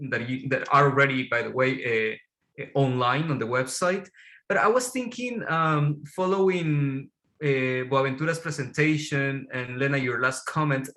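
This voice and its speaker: A man moderating an academic panel off the cuff, hesitant and pausing between phrases. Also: medium pitch, sharp tone.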